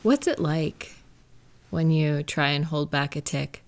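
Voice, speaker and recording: A gentle live-in partner played by a woman speaks in a low-pitched voice. She is tender and reassuring, taking her time as if in a private one-sided conversation. It sounds like an intimate comfort roleplay audio. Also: expressive, takes it slowly, full character voice. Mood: sympathetic, soft.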